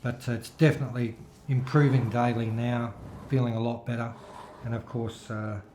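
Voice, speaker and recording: A man's voice: mid-range voice, level tone, moderate pace, darkish tone, reverberant, slight background noise. A middle-aged man gives rambling, casual commentary over his gameplay, his throat still a little worn. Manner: rambling, chatty, with filler-heavy restarts. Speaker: gaming video host.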